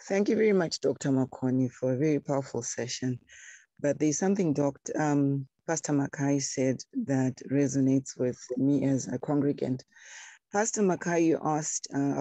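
Gravelly, husky woman. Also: a bass voice, dark tone, dry recording. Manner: earnest, repeats words while thinking. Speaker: church congregant. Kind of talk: panel discussion contribution.

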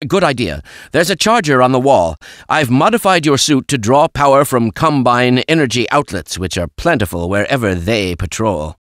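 A man's voice: mid-range voice, lively intonation, normal speed, fairly bright tone, dry recording, natural voice. Guy doing a fussy absent-minded old scientist for a video game, voice swings all over, close dry sound. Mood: fussy, genial. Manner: fussy, precise, old-fashioned exclamations. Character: kindly, absent-minded older male scientist, excitable and fussy. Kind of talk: video game character acting.

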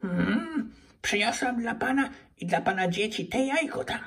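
A man's voice: very high voice, animated, unhurried, a bit bright, recorded from a distance, voice pushed up, exaggerated voice. A man voicing a sly, grumpy fairy lady in a children's toy puppet story, coy and prickly.

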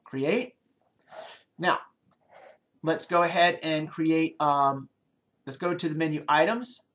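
A middle-aged man teaches programming over a screencast. He talks from a small office into a desk webcam. He explains each step aloud and often pauses, restarts or adds fillers as he thinks. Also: on the high side, a bit bright. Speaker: programming lecturer. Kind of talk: screencast coding tutorial.

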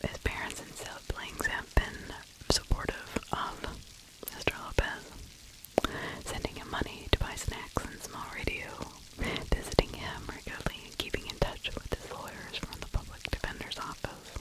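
A woman's voice: normal speed, low-quality recording. A middle-aged woman whispering breathily and close to the mic, telling a story in a conversational, opinionated ASMR style. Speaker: true crime ASMR host, narrator. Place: small indoor room. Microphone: close microphone with furry windscreen.

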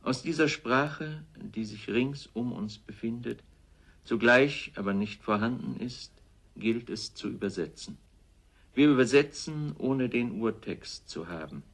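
A man who is a writer, formally delivering a measured statement on language. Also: mid-range voice, expressive. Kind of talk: measured formal reading of a speech.

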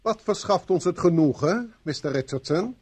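An actor playing a stern, impatient police inspector in a radio play, brisk and authoritative. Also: male voice, high-pitched, expressive, darkish tone, breathy edge. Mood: brisk, formal.

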